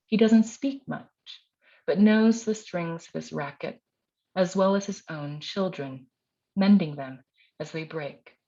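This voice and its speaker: Woman poet, middle-aged, slightly low register, public reading with casual introductions, muffled lo-fi capture. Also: takes it slowly, dark tone. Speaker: poet and university teacher reading own work. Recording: dull, lo-fi sound.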